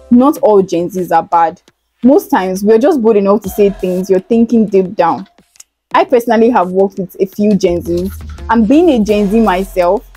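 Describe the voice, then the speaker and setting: A young woman hosts a chatty gossip show, teasing and animated. Her voice is mellow and a little husky, picked up close by a lapel mic in a small indoor studio. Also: medium pitch. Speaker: host. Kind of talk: chatty scripted gossip vlog. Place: indoor studio, plain backdrop.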